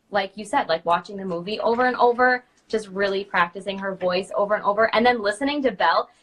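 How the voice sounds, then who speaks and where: A woman's voice: medium pitch, fairly bright tone, low-quality recording. A young adult woman in a casual chat, sharing tips as a guest. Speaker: guest, princess party performer.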